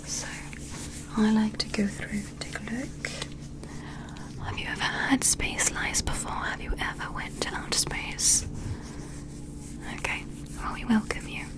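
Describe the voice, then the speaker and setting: A gentle female alien physician, played by a woman, conducts a sci-fi ASMR exam in a soft whisper. Her voice sits a little high and moves very slowly. She is polite and methodical, explaining and asking with meticulous care. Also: fairly even intonation, close to an everyday voice, low-quality recording. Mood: calm, attentive. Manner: slow, gentle, methodical, questioning. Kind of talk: whispered ASMR medical roleplay.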